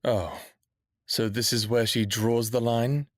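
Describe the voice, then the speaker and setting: A man's voice: medium pitch, fairly even intonation, slow pace, dry recording, clean studio sound, lightly acted. A man playing a defiant, bitter young human captive in a vampire audio drama, with sardonic, brooding, profane delivery, both narrating and speaking. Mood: indignant, bitter. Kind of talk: first-person audio drama narration and dialogue.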